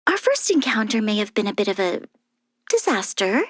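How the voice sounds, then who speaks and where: A woman voicing a young noble military commander in a video game, formal, dutiful and reflective, speaking in an earnest, measured way with some soft breathiness. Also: medium pitch, sing-song, no echo, studio quality. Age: young adult. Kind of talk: scripted video game character voice lines.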